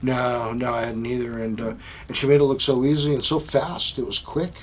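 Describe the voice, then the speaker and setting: A man co-hosting a casual podcast chat, talking in halting bursts full of fillers and restarts. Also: mid-range voice, steady tone, slight background noise. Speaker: podcast co-host.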